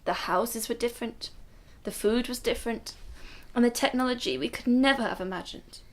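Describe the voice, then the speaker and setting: A woman's voice: fairly high pitch, normal speed, natural voice. Woman playing earnest protective grandmother recounting hardship. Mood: solemn, reflective. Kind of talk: radio drama acting.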